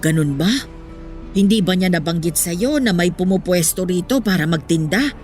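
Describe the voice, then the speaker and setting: A woman's voice: on the low side, expressive, moderate pace, a little mellow, soft background music, natural voice. A woman playing an elderly, bossy but motherly street vendor in a radio drama. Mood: concerned.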